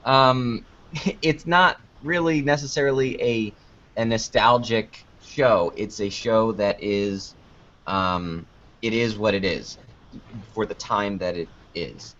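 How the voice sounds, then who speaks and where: Man, lowish voice, casual interview guest, rambling and informal, muffled lo-fi recording. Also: steady tone, slow pace, fairly bright tone. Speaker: interview guest, podcast creator. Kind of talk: casual conversational interview answers. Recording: dull lo-fi online call audio.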